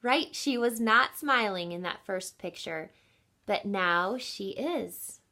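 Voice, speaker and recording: A woman teacher reading a picture book aloud to children in an expressive, instructive way, with deliberate emphasis. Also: mid-range voice. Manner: teacherly, asks listeners questions, deliberate emphasis.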